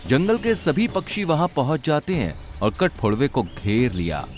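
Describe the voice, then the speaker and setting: A male storyteller reads a scripted children's tale. His voice sits at a middle pitch and moves with animated intonation. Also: normal speed, faint music behind. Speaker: narrator.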